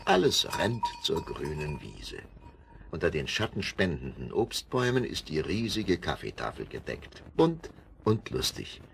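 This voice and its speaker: An older man narrating a children's radio play in a lively storytelling manner. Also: a bass voice, unhurried, gravelly, slight background noise. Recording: vinyl rip with music bed.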